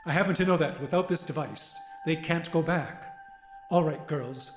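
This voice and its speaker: Determined, apologetic grandpa played by a male actor, fairly high male voice, echoey room, radio drama lead with a desperate plan. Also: normal speed, faint music behind, natural voice. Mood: firm. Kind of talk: audio drama acting.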